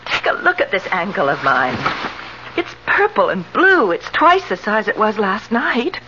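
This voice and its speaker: An actress playing an affectionate but easily indignant younger wife in a 1970s radio drama, theatrical and expressive. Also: female voice, mid-range voice, normal speed, highs cut off, lightly acted. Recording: noisy, band-limited 1970s radio recording.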